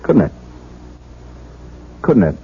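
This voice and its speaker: An actor playing an earnest, bashful young patrolman in a 1970s radio drama, hesitant and folksy, with a husky voice. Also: slow, a little hiss or hum, close to an everyday voice. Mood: earnest questioning. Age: young adult. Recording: thin, phone-like old radio broadcast.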